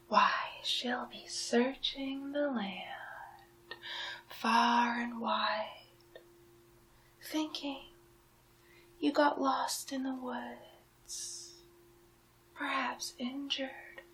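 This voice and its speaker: A woman playing a sly, possessive medieval witch in a hushed, drawn-out, teasing ASMR roleplay. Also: high-pitched, steady tone, a little hiss or hum, exaggerated voice. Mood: mock sympathy. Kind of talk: ASMR roleplay, soft speaking and whispering.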